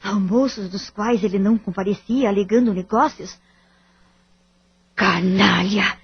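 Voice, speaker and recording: Actress as husky wronged wife and mother. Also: medium pitch, a little slow, no echo, lo-fi sound. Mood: bitter, anguished. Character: betrayed wife and worried mother, bitter yet protective. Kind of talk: audio drama acting.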